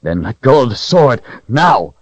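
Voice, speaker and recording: A man's voice: medium pitch, very animated, measured pace, no echo, muffled recording, pitched above the performer's own voice. A man voicing a stern young swordsman who threatens and questions a captive.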